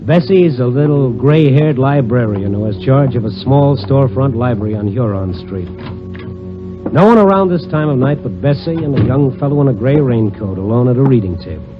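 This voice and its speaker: A man's voice: medium pitch, expressive, moderate pace, lightly acted. An actor with an American accent playing a wry, tough investigator who narrates his case in an old-time radio drama. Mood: wry, brooding. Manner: wry, hardboiled delivery. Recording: dull vintage radio recording with music.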